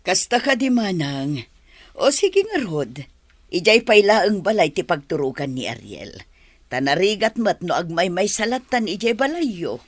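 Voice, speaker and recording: A woman plays a kindly madam in a village radio drama, motherly and considerate. Her low voice is husky and swings widely in pitch as she looks after others despite her ailments. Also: sing-song, unhurried, dry recording. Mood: obliging, reassuring. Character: kindly, ailing madam who lost her son. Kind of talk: Ilocano radio drama acting.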